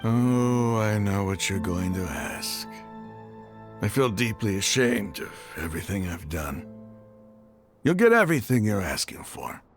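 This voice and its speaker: A man's voice: lowish voice, big pitch swings, unhurried. A man playing an embittered desert mercenary leader in a video game, speaking emphatically and with passion. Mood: chastened, resolute. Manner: emphatic, impassioned. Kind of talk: dramatic video game voice acting. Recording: background music under the voice.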